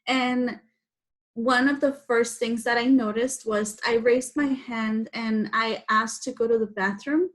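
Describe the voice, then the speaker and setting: A woman from Guatemala whose first language is Spanish, telling her personal story to children in simple, explanatory phrases. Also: a little high. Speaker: guest speaker telling personal story to schoolchildren.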